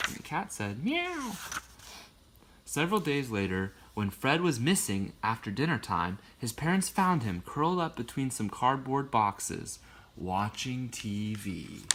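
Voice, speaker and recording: A man with a bright, forward voice reads a children's picture book live. He tells it expressively, in the casual way of someone sharing a favorite book. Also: on the low side, slight background noise.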